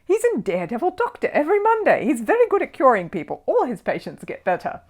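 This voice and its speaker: A woman voicing a polite, earnest young male bear from a children's storybook, easily puzzled and quick to exclaim. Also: high voice, wildly swooping pitch, husky, voice pushed up, full character voice. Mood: surprised, earnest.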